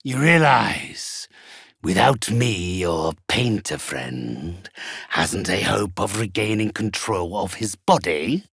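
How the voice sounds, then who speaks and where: Raspy, deep undead necromancer. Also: male voice, lively intonation, fairly bright tone, no room sound, studio quality, exaggerated voice. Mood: cold, scornful. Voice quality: raspy, hoarse. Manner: pompous, formal, deliberate. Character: ancient undead necromancer, haughty, mercenary, theatrically menacing. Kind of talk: theatrical video game character acting.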